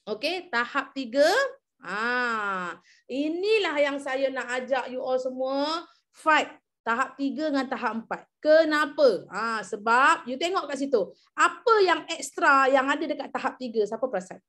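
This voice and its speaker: High-voiced Malaysian woman coaching her team. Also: expressive, a little slow, fairly bright tone. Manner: coaxing, repeats key numbers, asks team for replies. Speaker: MLM team leader presenting an incentive program. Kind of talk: online briefing to a sales team.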